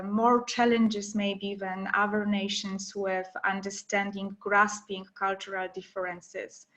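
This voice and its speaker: A woman with a Polish accent presents to an online audience in a halting, hesitant way, often repeating words. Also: medium pitch, a bit bright. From Poland. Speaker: webinar presenter, trainer and academic teacher. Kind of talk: informal lecture to an online audience. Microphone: webinar call. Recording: dull lo-fi online call audio.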